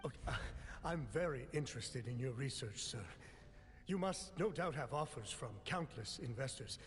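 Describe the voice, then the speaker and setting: Formal male investor character, voiced by a man, average pitch, polite, in a reverberant hall. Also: animated, natural voice. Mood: nervous, deferential. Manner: formal, measured wording.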